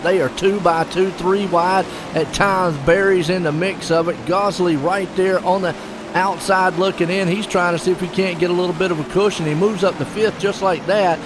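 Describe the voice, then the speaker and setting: Casting note: chatty male sim race host, slightly high voice, loud engine noise behind him. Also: steady tone, normal speed. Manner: chatty and folksy, jokes with his co-host. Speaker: race commentator and stream host. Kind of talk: casual sim racing commentary. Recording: noisy broadcast mix with engine noise.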